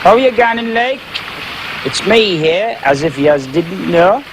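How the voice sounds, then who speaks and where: Guy doing a rambly geordie footie fan, high husky voice that bounces all over. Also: slow pace, noisy background. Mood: rambling. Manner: rambling, deadpan, lively pitch swings. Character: chatty Geordie football fan man, rambling.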